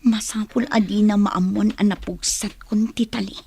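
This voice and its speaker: An actress playing a frightened captive woman in a radio drama, speaking in hushed, breathy whispers with a Filipino accent. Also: mid-range voice, fairly even intonation, moderate pace. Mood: fearful, hushed. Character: frightened, injured captive young woman plotting escape.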